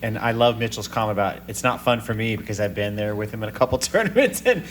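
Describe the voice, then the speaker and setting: A man's voice: lowish voice, lively intonation, fast, a little hiss or hum. A man co-hosting a podcast, rambling and repeating words as he frames long questions. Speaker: podcast co-host. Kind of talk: casual interview.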